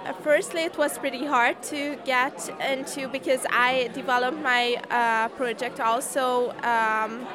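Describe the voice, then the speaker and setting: Higher-voiced young woman amid crowd. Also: steady tone, unhurried, few pauses. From Hungary. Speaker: science competition participant being interviewed. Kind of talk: street-style interview answers. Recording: busy crowd chatter behind the voice. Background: crowd chatter.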